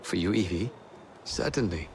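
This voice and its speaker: A man playing a gallant, scholarly Victorian gentleman in a video game, polite and measured. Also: low-pitched, a little hiss or hum. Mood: earnest. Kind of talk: video game cutscene acting.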